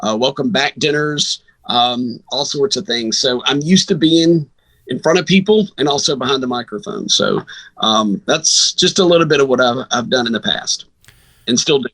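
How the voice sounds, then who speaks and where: A man with a bright, forceful voice speaks at a middle pitch. He sounds like a sports broadcaster being interviewed, explaining things in a conversational way. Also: moderate pace, rough recording. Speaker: guest, radio sports broadcaster.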